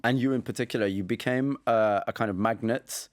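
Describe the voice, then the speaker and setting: A man interviewing a guest, asking gentle probing questions. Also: medium pitch, fairly quick, no echo. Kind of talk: radio interview.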